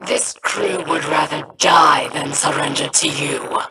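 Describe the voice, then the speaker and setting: A man's voice: on the high side, fairly even intonation, lightly acted. A man voicing a defiant, threatening alien pirate villain in a video game. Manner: threatening, deliberate. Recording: loud background noise.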